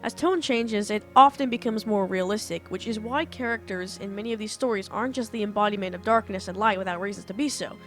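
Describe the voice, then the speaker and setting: A woman's voice: mid-range voice, quick pace, hardly any pauses, a bit bright. A young woman talks through writing advice with a mid-range voice, earnest and methodical. Her voice sounds a little off after a recent illness. Faint music plays underneath. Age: young adult. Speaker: author and writing teacher. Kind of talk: casual explainer lecture.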